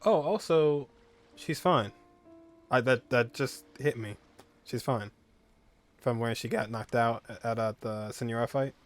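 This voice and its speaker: A man's voice: medium pitch, a little slow, long pauses, faint music behind. A man casually commenting on a game as he plays, with laid-back joking asides. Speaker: streamer playing a game.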